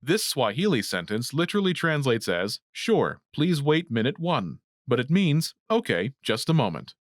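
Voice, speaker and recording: Lively male lesson host, dry studio sound. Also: medium pitch, moderate pace, dry recording. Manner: clear didactic delivery. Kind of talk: scripted instructional narration.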